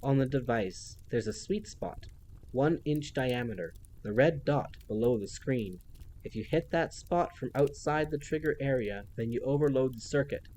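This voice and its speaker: A man's voice: medium pitch, moderate pace, darkish tone, a little hiss or hum, natural voice. A man voicing a snide, childlike female villain who mocks and gloats in a theatrical sing-song. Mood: mocking.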